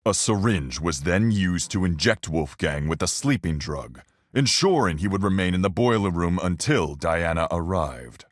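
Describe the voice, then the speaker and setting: An actor playing a gruff, level-headed sea captain with salty slang and a folksy, measured manner in a video game. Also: male voice, sits low, animated, no room sound, clean studio sound. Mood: grave, matter-of-fact. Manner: salty seafaring slang, measured deductive delivery. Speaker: voice actor in a fan-made mystery game. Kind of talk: video game character voice lines.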